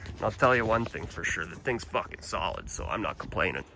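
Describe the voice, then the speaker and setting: A man's voice: deep voice, lively intonation, fairly quick, sharp tone. A young man from Canada with a Canadian accent, rambling and swearing casually as he walks around his motorcycle for a vlog, talking into a handheld phone. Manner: casual and profane, rambling with restarts. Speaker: motorcycle vlogger.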